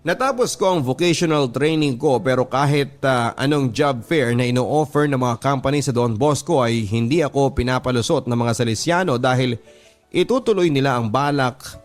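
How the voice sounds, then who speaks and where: A man's voice: medium pitch, moderate pace. A man with a Filipino accent hosting a radio story program, reading listener letters as dramatic first-person narration. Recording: music under the voice. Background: background music.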